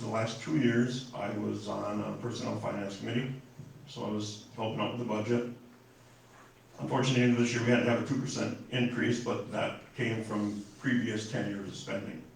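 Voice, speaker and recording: A man's voice: lowish voice, creaky. An older man from Wisconsin introducing himself as a city council candidate at a forum, folksy and rambling with many fillers and restarts. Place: public forum room. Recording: echoey hall, distant mic, faint noise.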